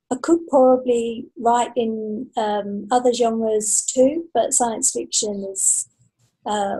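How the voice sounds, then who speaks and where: A British woman talks as a guest in a casual interview, in a fairly high, mellow voice with a slightly husky edge. She answers thoughtfully, pausing and feeling for her words. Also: low-quality recording. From Britain. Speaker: podcast guest, science fiction author.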